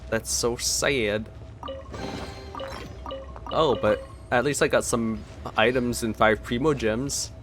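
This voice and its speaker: A man's voice: medium pitch, steady tone, takes it slowly, pauses often, a bit bright, faint music behind. A man giving casual reaction commentary as the host of a game playthrough. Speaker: let's play host.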